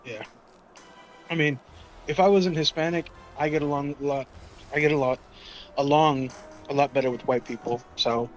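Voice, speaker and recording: A man's voice: fairly high pitch, fairly even intonation, normal speed, pauses often. A man chatting casually in a probing way, often repeating his questions. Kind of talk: casual chat. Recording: slightly dull lo-fi mic, music behind. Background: music.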